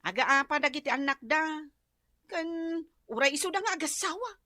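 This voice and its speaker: A woman's voice: high voice, animated, a little fast, bright, forceful tone, no room sound, clean studio sound. A woman with an Ilocano accent voicing a nosy, gossipy neighbour in a comedy radio drama. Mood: amused, gossipy. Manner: gossipy, exclamatory.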